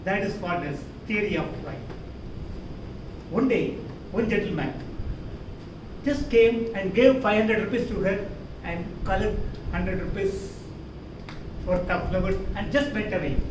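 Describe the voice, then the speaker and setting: A man with an Indian accent performs a story as a contest speech. His delivery is high-pitched, emphatic and theatrical, broken by deliberate pauses. He is picked up from a distance, with clear rumbling noise behind him. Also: echoey room. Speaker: contest speaker.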